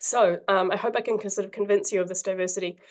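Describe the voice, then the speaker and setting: A woman giving an academic conference talk over a video call, husky from a cold, friendly and filling pauses with ums and uhs. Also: medium pitch, steady tone. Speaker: invited conference speaker.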